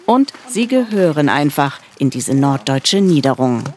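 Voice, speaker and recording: A man reading a scripted news report voice-over in a calm, measured way. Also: fairly high pitch.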